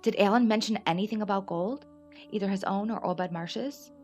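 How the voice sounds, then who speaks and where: A woman acting in a radio play as a concerned, steady federal agent, questioning and reassuring. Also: medium pitch, normal speed, faint music behind, close to an everyday voice. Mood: probing, concerned.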